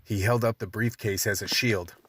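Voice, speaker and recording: A man's voice: on the low side, fairly even intonation, normal speed, very noisy, lightly acted. A man playing a tough survivor who narrates his fight with vampires in a first-person radio play. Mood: grim, steady narration.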